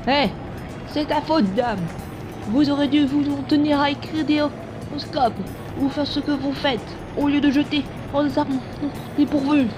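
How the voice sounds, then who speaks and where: A woman's voice: high voice, fairly even intonation, measured pace. A woman voicing an irritable, defiant pilot hero in a cartoon comic fan dub, curt and confrontational. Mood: annoyed. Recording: music behind the voice.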